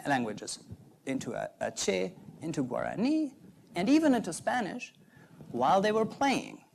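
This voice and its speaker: A young adult man, a native German speaker, giving an earnest prepared talk in measured phrases. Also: high voice, creaky, a little mellow, slight background noise. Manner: earnest, measured, pauses between short phrases. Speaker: doctoral researcher giving a talk.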